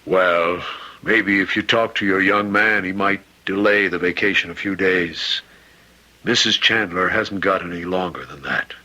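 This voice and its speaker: Forceful, concerned chaplain. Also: male voice, deep voice, unhurried, full character voice, rough recording. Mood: concerned, persuasive. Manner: probing, persuasive. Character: concerned, reasoning hospital chaplain, a man. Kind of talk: radio drama acting.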